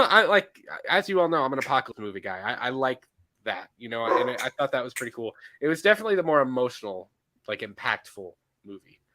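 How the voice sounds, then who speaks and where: A man co-hosting a casual movie podcast, a little stuffy from allergies, animated and quick to laugh, often restarting his sentences. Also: fairly high pitch. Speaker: podcast co-host. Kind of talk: casual chat.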